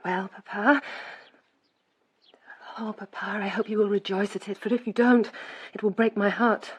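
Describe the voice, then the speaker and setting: An English actress playing an earnest, emotional, devoted daughter in a radio drama, husky and breathlessly impassioned. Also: female voice, medium pitch, steady tone, normal speed, close to an everyday voice. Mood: anxious, hopeful. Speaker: actor.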